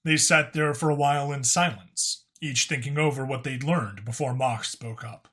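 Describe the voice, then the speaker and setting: A man's voice: mid-range voice, normal speed, fairly bright tone. A man reading scripted fiction as an audiobook narrator.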